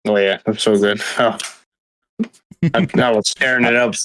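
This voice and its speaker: A man chatting casually as a guest, excitable and often laughing and cutting in. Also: on the low side, a little hiss or hum. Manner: excitable, laughing, quick to interrupt. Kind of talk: casual chat.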